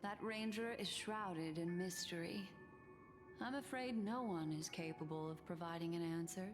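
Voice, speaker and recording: An actress playing a composed, enigmatic and shrewd woman advisor in a sci-fi fantasy video game, speaking in a measured, articulate way. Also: on the low side, big-room sound, over music, close to an everyday voice. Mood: calm, knowing. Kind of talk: scripted video game dialogue.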